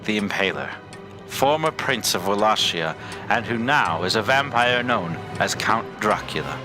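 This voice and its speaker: A man's voice: fairly low pitch, lively intonation, a little slow, music in the background. A man playing a solemn, formal and determined recruiter in an audio drama. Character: solemn, determined male recruiter of vampire hunters.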